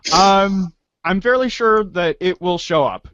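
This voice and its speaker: A woman's voice: mid-range voice, takes it slowly, fairly bright tone, dry recording. A woman hosting and reading in a fan readers' theater, moving between acted reading and casual asides. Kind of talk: read-along acting with casual asides. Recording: dull lo-fi audio.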